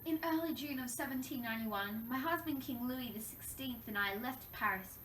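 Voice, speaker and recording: A woman's voice: high voice, expressive, normal speed, fairly bright tone. A woman playing a wronged young French queen in a scripted interview role play, recounting her troubles in a formal, recited way. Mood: troubled, earnest. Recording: echoey room, distant mic, faint noise.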